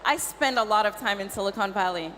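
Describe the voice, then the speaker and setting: A woman's voice: medium pitch, fast, fairly bright tone. Cameroonian woman on a conference panel. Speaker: panelist, tech advisor. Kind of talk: panel discussion. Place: conference stage. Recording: conference hall reverb, faint noise.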